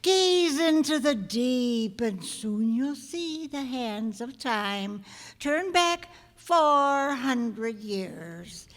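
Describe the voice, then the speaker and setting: Ancient, slow-talking cackling witch. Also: high voice, animated, full character voice. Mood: eerie, beckoning. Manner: cackling, slow, theatrical, folksy archaic speech. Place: live stage before an audience. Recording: live hall with long reverb.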